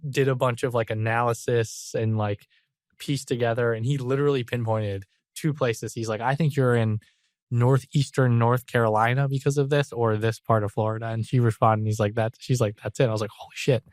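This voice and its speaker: A man's voice: mid-range voice, steady tone, brisk, darkish tone, dry recording, studio quality. A man hosting a podcast, chatting casually with his guest and rambling with lots of "like.". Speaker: podcast host.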